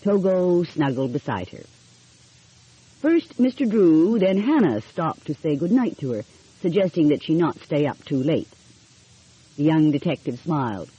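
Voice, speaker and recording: Husky, mellow woman narrating a story. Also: low voice, very animated, measured pace, dry recording. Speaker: audiobook narrator. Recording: dull, lo-fi audio.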